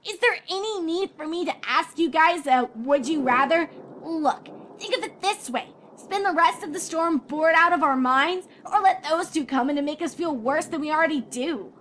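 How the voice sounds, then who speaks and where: A woman's voice: high-pitched, moderate pace, few pauses, fairly bright tone, slight background noise, pitched above the performer's own voice. A woman voicing a brash, sarcastic, stubborn young pegasus filly in a cartoon-style story reading. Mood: sarcastic, challenging. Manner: sarcastic, challenging. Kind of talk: cartoon-style character reading of fan fiction.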